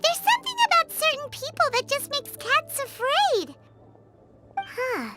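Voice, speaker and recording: A woman voicing a tiny, excitable childlike fairy companion in a game, with a squeaky voice and chirpy, expressive manner. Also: unhurried, heavily acted. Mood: puzzled. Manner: chirpy, expressive, speaks of herself in third person. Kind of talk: English game dub voice acting. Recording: loud background music.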